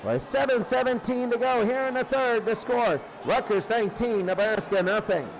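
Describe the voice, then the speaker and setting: A man calling football play-by-play live in an excitable, exclamatory way, often repeating phrases. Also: very high-pitched, normal speed, a little hiss or hum, rough recording. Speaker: play-by-play sports commentator. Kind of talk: live American football commentary.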